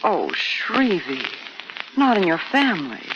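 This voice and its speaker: A woman's voice: medium pitch, sing-song, measured pace. An actress in a 1940s radio drama playing a genteel, emotional young lady in a polished, melodramatic style. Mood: concerned. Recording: muffled, noisy 1940s radio recording.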